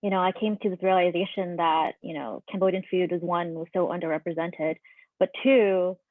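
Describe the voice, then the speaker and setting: Woman chef on a panel, earnest and pausing, lowish voice, thin phone-like audio. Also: fairly even intonation, dry recording. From United States. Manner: reflective, earnest, frequent ums. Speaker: restaurant owner and chef. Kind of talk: panel discussion storytelling. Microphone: video call. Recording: dry, phone-like video call audio.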